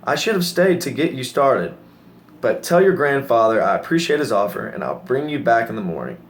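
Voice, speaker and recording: An exasperated but fair-minded working boss in a children's storybook, acted out by a man reading aloud. The voice sits at a middling pitch, with noticeable noise on the recording. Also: big pitch swings, fairly quick, lightly acted. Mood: calmer, fair.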